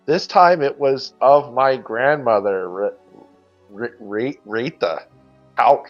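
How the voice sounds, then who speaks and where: A man's voice: mid-range voice, slow, pitched below the performer's own voice, natural voice. A man putting on an old person's voice to read a written account aloud with mock drama. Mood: theatrical. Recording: dull lo-fi sound with music behind.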